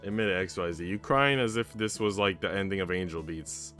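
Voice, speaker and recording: Man, low voice, game streamer, slangy, casual read-aloud, music behind. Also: animated, a little fast, a bit bright. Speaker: streamer reading a visual novel aloud.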